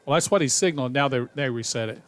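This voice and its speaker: A man giving conversational, opinionated color commentary on a high school football radio broadcast. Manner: conversational, opinionated, quick to react.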